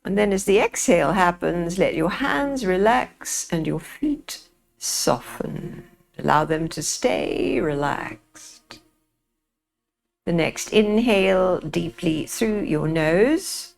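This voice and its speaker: Slow, low-voiced woman guiding relaxation. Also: expressive, vocal fry. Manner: soothing, gentle, unhurried guiding with pauses. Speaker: yoga nidra teacher. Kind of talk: guided relaxation, meditation instruction.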